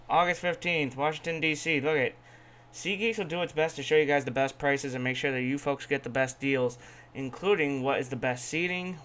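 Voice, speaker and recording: A man's voice: fairly high pitch, pushed and bright. A man hosting a podcast in an informal, sweary style, often repeating himself as he talks off the cuff. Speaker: podcast host. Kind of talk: casual chat and unscripted sponsor reads.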